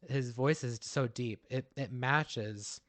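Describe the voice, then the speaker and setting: Bright high-voiced man chatting. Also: takes it slowly. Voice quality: high-pitched voice. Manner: playful, animated, swears casually. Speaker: podcast co-host. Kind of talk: casual chat.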